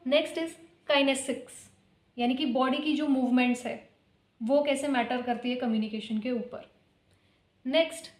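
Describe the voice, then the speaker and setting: Young woman lecturing, unhurried, echoey room, mixes languages mid-sentence. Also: high voice, darkish tone. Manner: explains by listing examples, switches between languages mid-sentence. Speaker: teacher. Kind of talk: exam-prep lecture mixing Hindi and English.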